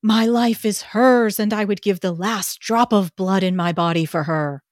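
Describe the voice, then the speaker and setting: A woman voicing an anxious, devoted young gentleman in a hushed, breathy, melodramatic way. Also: mid-range voice, dry recording, clean studio sound, lightly acted. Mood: desperate, devoted. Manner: slow, earnest, melodramatic.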